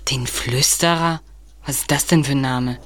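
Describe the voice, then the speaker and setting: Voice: medium pitch, moderate pace, fairly bright tone, natural voice. An actor playing an uneasy, reluctant boy detective sidekick in an audio drama, plain-spoken and a little hesitant. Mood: curious, sceptical.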